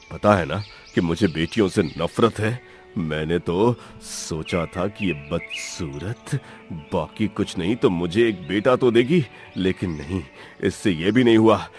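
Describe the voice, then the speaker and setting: Guy playing a cold scornful husband in a cartoon, lowish voice, bit of music behind. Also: lively intonation, slow. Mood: cold resentment. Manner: stern, contemptuous. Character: arrogant, cold husband and father scorning his family. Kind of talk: Hindi cartoon story dialogue.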